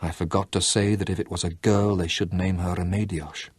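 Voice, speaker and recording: Condemned rebel commander, husky and low, steady before the firing squad, read by a man. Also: a little fast, no room sound. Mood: calm, resigned. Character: condemned young rebel commander facing execution.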